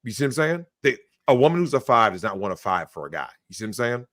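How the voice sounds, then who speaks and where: A man's voice: medium pitch, very animated, fast talker, fairly bright tone, no echo. A man in the middle of his range who talks emphatically and bluntly, jumping into mimicked voices of the people he describes. He swears freely on a livestream, and the sound is dry and close, with no room around it. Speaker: guest. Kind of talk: opinionated livestream talk.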